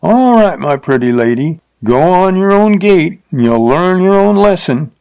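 A man voicing an uncouth, leering frontier rider with a rough drawl and bad grammar. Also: fairly high pitch, animated, a little slow, darkish tone, pitched above the performer's own voice, low-quality recording. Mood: jeering, drunk.

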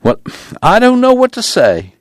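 A man's voice: fairly low pitch, expressive, moderate pace, no room sound, clean studio sound. A man playing a troubled, humble country ex-preacher. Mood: doubting. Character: troubled, humble former preacher, doubting and hesitant.

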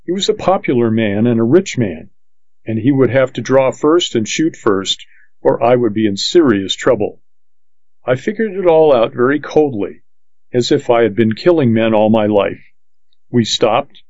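A man reading a western audiobook in the first person, with measured, plain storytelling. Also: mid-range voice, expressive, a little mellow, no echo. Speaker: audiobook narrator. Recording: dull, lo-fi dry audiobook recording.

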